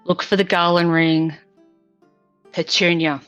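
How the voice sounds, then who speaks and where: A woman talks casually during a tabletop game in a stuffy, congested voice on the low side. The recording is dry and slightly muffled, with faint music underneath. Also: little pitch movement, unhurried, a bit bright, dry recording. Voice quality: congested, blocked nose. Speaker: player in a tabletop roleplaying show. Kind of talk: casual chat.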